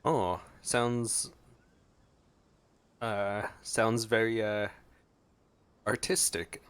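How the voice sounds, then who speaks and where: A man's voice: fairly low pitch, fairly even intonation, very slow, a bit bright, a little hiss or hum. A man giving casual gameplay commentary as a streamer, thinking aloud in an informal, trailing way. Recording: game music behind the voice.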